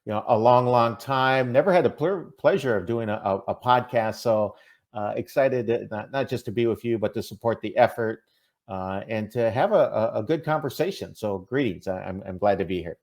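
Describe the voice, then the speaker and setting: A man's voice: lowish voice, normal speed, a little mellow. A man with a Minnesotan accent, a podcast guest talking casually and hesitantly, with many fillers and restarts. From Minnesota, USA. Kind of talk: casual interview talk.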